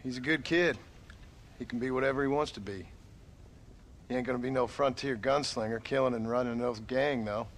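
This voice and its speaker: Actor as gruff, weary Western outlaw turned rancher. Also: male voice, medium pitch, a little fast, a little hiss or hum, natural voice. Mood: reflective. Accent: American Western. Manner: dry, terse. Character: gruff reformed outlaw rancher, weary, dry, protective father. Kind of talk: video game cutscene acting.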